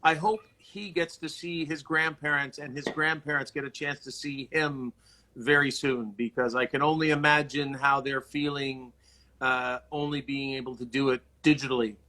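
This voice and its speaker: A man's voice: mid-range voice, steady tone, moderate pace, bright, forceful tone, rough recording. A man hosting a conversational interview, earnest and wordy as he circles his way into questions. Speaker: interview host. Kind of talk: conversational video-call interview.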